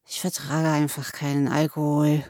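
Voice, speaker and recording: A woman's voice: on the low side, fairly even intonation, unhurried, creaky, darkish tone, breathy edge, dry recording, studio quality, full character voice. A woman voicing a drunk, despairing young male student in an audiobook, plaintive and childish, with slurred, tearful speech. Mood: drunken, maudlin. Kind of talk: audiobook character acting.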